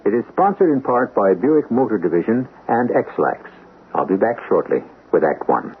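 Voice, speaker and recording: A man hosting a radio mystery drama with measured, theatrical, ominous scripted narration. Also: on the low side, big pitch swings, background noise. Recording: muffled narrow-band old radio broadcast. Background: music.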